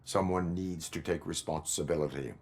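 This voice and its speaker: A man acts out a stern cyborg police officer from a video game. The voice is low-pitched and deadpan. He speaks in terse, formal statements, stoic and dutiful. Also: moderate pace, close to an everyday voice. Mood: stern, flat. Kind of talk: video game character acting.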